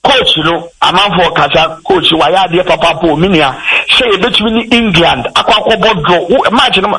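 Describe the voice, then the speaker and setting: A Ghanaian man breaks down football tactics on a radio sports discussion. His voice sits high and sounds bright and sharp. It has a husky edge, and he talks in an animated, rambling way, hopping between English and Twi. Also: moderate pace, low-quality recording. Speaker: football pundit.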